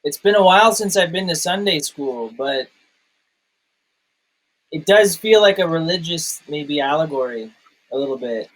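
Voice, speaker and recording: A man with a somewhat high voice chats casually about a film. He puts his ideas forward tentatively and hedges as he goes. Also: lively intonation, moderate pace, pauses often, a bit bright, rough recording. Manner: tentative, hedging. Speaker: film review show co-host. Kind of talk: casual movie discussion.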